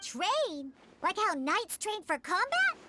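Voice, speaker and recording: A woman voicing a lively, cheerful and eager little girl character in an anime video game. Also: very high-pitched, slow pace, heavy background noise, exaggerated voice. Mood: chatty.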